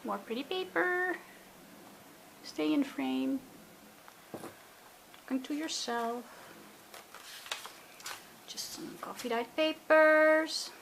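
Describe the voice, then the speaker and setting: Slow, chatty woman narrating crafts. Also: high-pitched, creaky, fairly bright tone, a little hiss or hum, rough recording. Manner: chatty, talks to herself, gushing and repetitive. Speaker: craft video maker. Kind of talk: casual craft flip-through. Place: indoors at a table.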